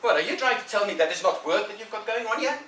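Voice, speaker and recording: Man voicing a boy bully: wheedling, teasing, bright and high, roomy and off-mic. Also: expressive, fast talker, a little hiss or hum. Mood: pleading. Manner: mocking, wheedling, loud. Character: teasing boy bully, two years older. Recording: echoey room, mic at a distance.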